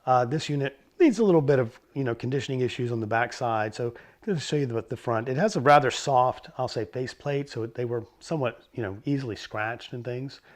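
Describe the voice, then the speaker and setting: A hoarse, middle-aged man at an ordinary pitch talks through vintage stereo equipment in a relaxed, rambling way. He pauses on fillers and corrects himself as he goes, like a host chatting to his regular viewers. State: hoarse from a cold. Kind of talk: casual explainer talk.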